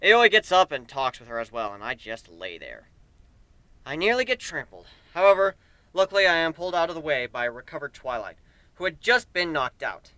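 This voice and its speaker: A man's voice: a little high, very animated, fairly quick, bright, forceful tone, dry recording. A man giving a theatrical, emphatic dramatic reading of a first-person fantasy story. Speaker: narrator of fan fiction.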